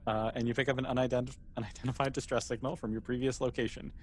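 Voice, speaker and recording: A man running a tabletop game as game master, narrating casually and haltingly as he thinks aloud. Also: mid-range voice, level tone, slight background noise.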